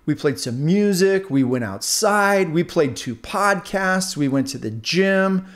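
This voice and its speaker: A man doing a bragging, high-pitched talking earbud. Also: expressive, measured pace. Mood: smug, mocking. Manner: mocking, bragging. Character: boastful, sneering pair of talking earbuds. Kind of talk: children's storybook character voice.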